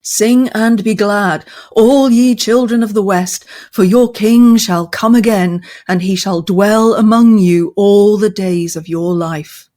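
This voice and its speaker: A great eagle herald from a fantasy storybook, read by a woman. The proclamation is slow, exultant and solemn, lifted a little above plain speech. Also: mid-range voice, mellow, close to an everyday voice. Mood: solemn joy. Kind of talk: dramatic reading of a fantasy novel.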